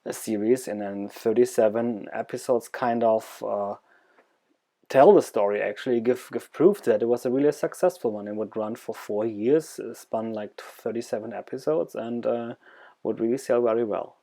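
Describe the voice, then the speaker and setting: A man with a German accent giving an informal presentation, halting and searching for words, with enthusiasm for what he presents. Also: medium pitch, warm tone.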